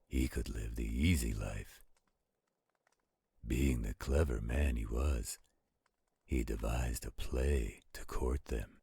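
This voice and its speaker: A man voicing a gentle, protective werewolf in a roleplay audio, reading aloud in a slow, soothing, husky, gravelly voice. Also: extremely low pitch, dry recording, full character voice.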